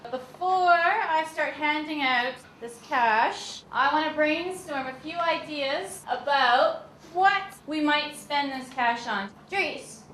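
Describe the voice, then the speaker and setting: Lady teacher talking to her class, quite high voice, roomy echo. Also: takes it slowly, few pauses, a bit bright. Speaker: primary school teacher. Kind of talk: addressing a class of children. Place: school classroom. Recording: echoey classroom with faint background noise.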